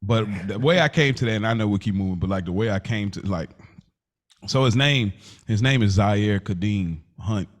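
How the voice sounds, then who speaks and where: Low-voiced American man chatting casually. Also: moderate pace. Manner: informal, slangy. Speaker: podcast co-host. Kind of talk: casual banter and chat between friends.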